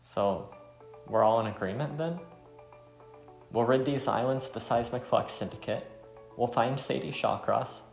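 A man's voice: medium pitch, unhurried, creaky. A man voicing a resolute, regretful, war-weary wanderer in a visual novel. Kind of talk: visual novel dialogue read aloud. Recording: roomy sound, faint music.

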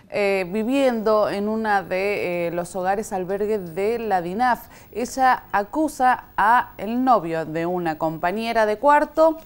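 A young woman reading the news in a formal, measured way in a TV studio. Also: a little high, clear, resonant tone. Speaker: news anchor.

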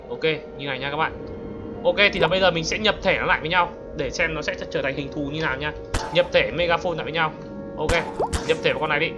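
A man giving casual, exclamatory gameplay commentary as a gaming YouTuber, throwing in English exclamations. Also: fairly high pitch. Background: music.

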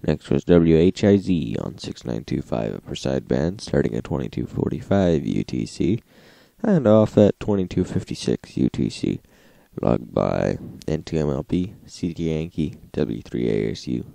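A man's voice: a bass voice, sing-song, normal speed, creaky, mellow, dry recording. A man narrating a log in a matter-of-fact, list-like way, as the host of a recap. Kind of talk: scripted narration, reading logs.

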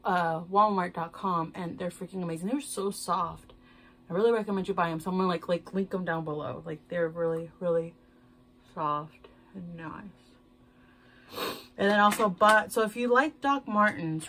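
A woman's voice: on the low side, fairly bright tone. A young woman from Houston, chatting in a loose, rambling way while doing her makeup in a small bedroom, talking to a camera at desk distance. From Houston, Texas. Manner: rambling, loose, swears casually, self-mocking. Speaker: beauty vlogger. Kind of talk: casual chatty get-ready-with-me. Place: small decorated bedroom, indoors.

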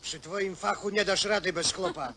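An actor playing a scheming, cajoling stout brother, colloquial and needling, in naturalistic film drama. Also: male voice, high voice, steady tone, moderate pace, bright, forceful tone. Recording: faintly noisy old film soundtrack.

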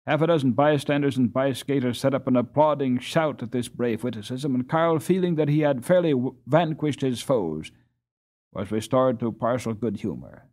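A man reading a children's novel aloud as an audiobook narrator, in an expressive, dramatised way. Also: mid-range voice, normal speed. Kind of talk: scripted storybook narration.

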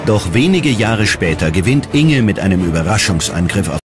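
Deep, dramatic male documentary narrator. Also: sing-song, normal speed. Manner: dramatic, emphatic. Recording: loud music behind the voice. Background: loud music.

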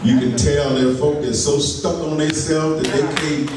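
Man minister, slightly high voice, slow unhurried preaching, distant pulpit mic in a roomy, noisy sanctuary. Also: level tone, crowd noise. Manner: slow, folksy preaching with laughter and asides to the congregation. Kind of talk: sermon. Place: church sanctuary. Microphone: pulpit mic, filmed by a far camera. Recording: echoey church from far off, noisy.

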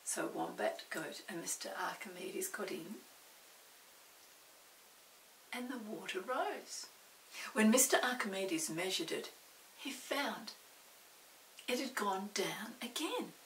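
A woman in her sixties hosting a children's storytime, reading a picture book gently and sometimes dropping to a whisper. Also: medium pitch, expressive, unhurried. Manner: gentle, often whispering to a puppet. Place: indoor room with a storybook set. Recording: roomy, distant mic, faint hiss.

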